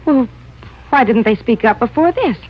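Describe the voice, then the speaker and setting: An actress playing a cool, scheming widow in a radio mystery, speaking in an arch, guarded way. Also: female voice, fairly high pitch, expressive, moderate pace, slightly rough. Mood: probing. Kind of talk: 1950s radio drama acting. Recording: muffled old radio recording.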